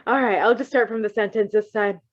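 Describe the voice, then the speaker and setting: A woman reading fan fiction aloud in a casual, giggly, expressive way, breaking into chatty asides. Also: medium pitch, brisk, muffled recording. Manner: giggly, expressive, exclaiming and swearing. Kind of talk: giggly live read-aloud with casual chat.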